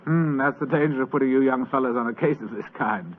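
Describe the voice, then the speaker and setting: An actor playing a fat, lazy, superior British government official in a 1940s radio drama, with a languid, pompous, condescending manner. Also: male voice, mid-range voice, fast, lightly acted. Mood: sleepy, superior. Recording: old muffled 1940s radio recording.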